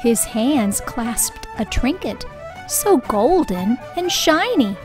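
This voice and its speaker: High-voiced, unhurried woman reading a storybook. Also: music in the background. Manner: deliberate, expressive phrasing. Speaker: storybook reader. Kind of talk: children's read-aloud narration.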